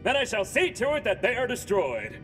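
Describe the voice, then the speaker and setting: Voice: mid-range voice, huge pitch swings, brisk, fairly bright tone. An actor voicing an eager, violent henchman in an exaggerated video game style. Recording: faint music behind.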